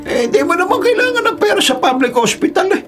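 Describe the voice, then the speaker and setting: An actor playing a gruff, impatient, dismissive bus company boss who scolds brusquely in a radio drama. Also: male voice, very high-pitched, big pitch swings, fast talker, a bit bright, faint music behind, exaggerated voice. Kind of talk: Philippine radio drama acting.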